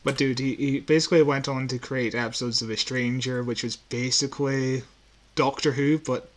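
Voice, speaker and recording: A man's voice: medium pitch, steady tone, moderate pace, slight background noise. A man chatting casually as a podcast co-host, explaining trivia like a keen fan. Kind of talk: casual chat.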